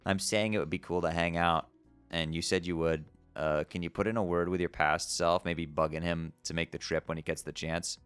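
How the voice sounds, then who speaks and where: A man voicing a mopey, self-pitying teenage troll boy who talks low and sulky. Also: flat delivery, moderate pace, fairly bright tone, clear, resonant tone, no echo, soft background music.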